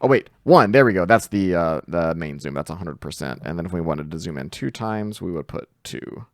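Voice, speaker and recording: A man with a very low voice walks through a software tutorial step by step. His delivery is informal and lively in pitch, as a host talking over his screen. The sound is dry and close to the mic. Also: a little fast, dry recording. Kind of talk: casual screen-share tutorial narration.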